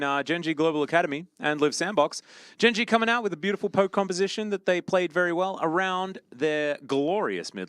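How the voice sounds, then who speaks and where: A man's voice: a little high, fairly bright tone, clean tone, dry recording, clean studio sound. A young Australian man casting esports, chatting and joking in an easygoing, self-deprecating way. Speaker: esports caster. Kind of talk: casual broadcast banter.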